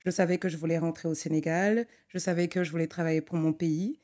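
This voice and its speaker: A young adult woman who grew up in Togo, an architect talking through her career as a podcast guest, thoughtful and full of pauses and restarts. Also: low-pitched, steady tone, warm tone. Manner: thoughtful, many fillers and restarts. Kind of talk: conversational interview.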